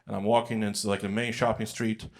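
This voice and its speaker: A man's voice: on the low side, level tone, quick pace. A man, a young adult, performing a stand-up comedy set on a large stage, telling stories loosely with fillers and acting out quotes. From United States. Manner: conversational storytelling with filler words and acted-out quotes. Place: large music hall on stage.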